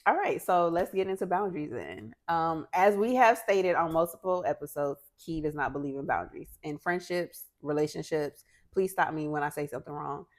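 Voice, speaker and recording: A young woman with a fairly low voice leads the conversation as a podcast co-host. She talks in an animated way, asks questions and laughs often. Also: moderate pace, hardly any pauses. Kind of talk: casual chat.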